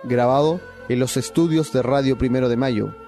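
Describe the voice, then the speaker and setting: A man's voice: mid-range voice, normal speed, mellow. A man reading scripted radio drama credits as an announcer. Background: music with flute.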